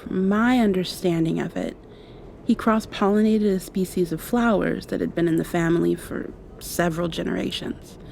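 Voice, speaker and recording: A woman playing a gentle, affectionate young vampire in an intimate ASMR roleplay, speaking softly with thoughtful pauses. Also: lowish voice, fairly even intonation, gravelly, a little hiss or hum. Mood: confiding, wry. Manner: soft, tender, unhurried, thoughtful pauses. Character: gentle, affectionate young female vampire confiding in her girlfriend.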